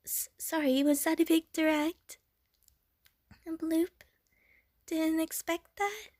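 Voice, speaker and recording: A woman's voice: high-pitched, darkish tone, no echo, clean studio sound. A woman playing an innocent young water slime creature in an audio roleplay, with a childlike, playful, gushing manner. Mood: shy, nervous. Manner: childlike, playful, gushing, punctuated with little bloop noises. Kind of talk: audio roleplay addressed to the listener.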